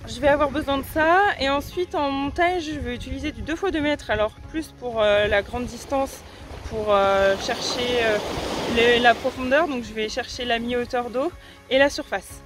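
A woman's voice: on the high side, moderate pace. A woman in her thirties from France, talking casually to camera on a beach, hesitant with many fillers and restarts. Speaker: sea angler explaining her rigs. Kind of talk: casual gear explanation to camera. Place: outdoors on a beach by the sea. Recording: music playing underneath.

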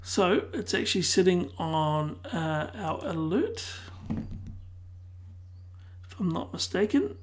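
A tutor losing their voice who keeps clearing their throat, calmly explaining a software tutorial one step at a time. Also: mid-range voice, slow, long pauses, slight background noise. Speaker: web design tutor. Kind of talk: screen-recorded software tutorial.

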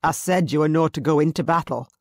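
A narrator voicing a determined Highland clan chief, firm and urgent in his orders. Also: fast, no room sound, studio quality, lightly acted.

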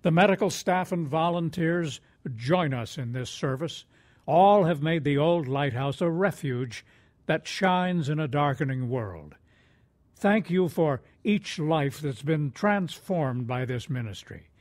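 Male radio host, slightly high voice, scripted appeal, solemn, measured phrasing. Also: lively intonation, darkish tone.

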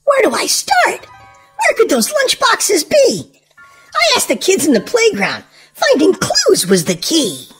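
Very high, animated children's storybook reader, woman. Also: takes it slowly, soft background music. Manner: exaggerated, sing-song, expressive delivery for small children. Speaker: host and reader of a children's read-aloud show.

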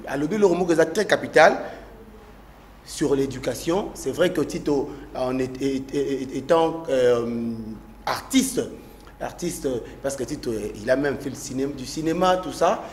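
A man hosting a talk show in a hesitant, halting way, stopping and restarting often. His voice sits in the middle of the male range. A faint hiss of noise runs behind him. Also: medium pitch. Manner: hesitant, many fillers and restarts.

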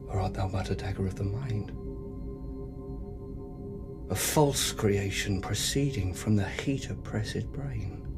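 A man playing a tormented, murderous nobleman in a brooding, deliberate, theatrical Shakespearean soliloquy. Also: on the low side. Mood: haunted, uncertain. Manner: brooding, deliberate, dramatic delivery.

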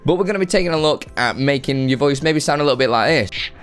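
A young man hosting a casual software tutorial in a chatty, informal, upbeat way. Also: on the high side, lively intonation, quick pace, smooth. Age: young adult. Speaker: tutorial host. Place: home room studio, indoors. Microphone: desk microphone on a boom arm.

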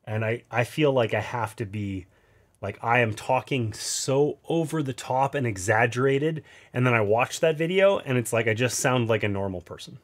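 A man in his thirties with a nasal, mid-pitched voice talks through a tabletop game from an indoor gaming table. He reasons each move aloud in a relaxed, casual way and drops wry little asides as he goes. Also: moderate pace. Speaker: board game streamer. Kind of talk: casual play-through commentary.

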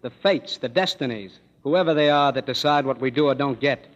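A man's voice: mid-range voice, fairly quick, close to an everyday voice. An actor playing a cynical young male musician in his twenties, firing off dry sarcastic wisecracks, in a 1930s radio play. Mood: sardonic. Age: 20s. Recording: dull old radio broadcast, long hall reverb, music behind.

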